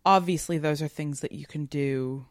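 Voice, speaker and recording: A woman's voice: deep voice, moderate pace, dry recording. A woman co-hosting a podcast, talking through ideas in a casual, analytical, informal way. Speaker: podcast co-host. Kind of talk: casual conversational literary discussion.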